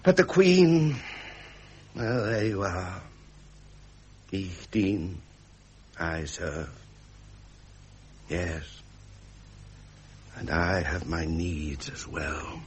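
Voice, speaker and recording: An actor with a British accent playing a self-pitying, pleasure-loving prince in a period drama. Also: male voice, sits low, animated, very slow, pauses often, slight background noise, heavily acted. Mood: discontented.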